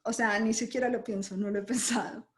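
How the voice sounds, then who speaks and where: A woman from Colombia, a podcast guest, telling her life story in a lively way with frequent laughter. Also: fairly high pitch, fairly quick. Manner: lively, laughs often while telling stories. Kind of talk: conversational interview storytelling. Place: lives in Germany.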